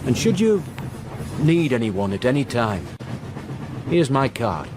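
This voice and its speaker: A man's voice: medium pitch, very animated, unhurried, background noise. An actor playing a blunt, foreboding local man with a Northern English accent and terse speech, in a radio drama. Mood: gruff, helpful.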